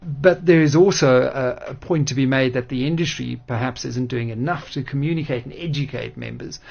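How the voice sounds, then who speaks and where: A man hosting a radio interview, asking measured, articulate questions in a creaky voice. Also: mid-range voice, a little mellow. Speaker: radio host. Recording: dull lo-fi radio audio.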